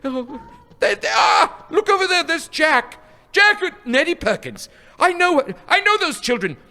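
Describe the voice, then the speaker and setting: A man's voice: very high-pitched, very animated, a little slow, pushed and bright, full character voice. A man acting a nervous, sentimental old miser in a live radio play, stammering and repeating his words. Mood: excited, delighted. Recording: live stage recording with background noise.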